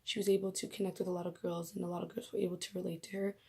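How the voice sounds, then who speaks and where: Young woman vlogger, raspy and husky from a coming cold, mellow dark tone, casual rambling talk. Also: on the low side, little pitch movement, rapid-fire. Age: young adult. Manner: chatty, rambling, laughs at herself. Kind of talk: casual faith vlog. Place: bedroom.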